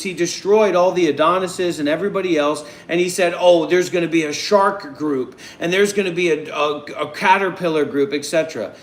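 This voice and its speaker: A man hosting a group voice call, speaking calmly and deliberately, often repeating himself to keep order. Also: high voice, roomy sound. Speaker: host of a voice chat session.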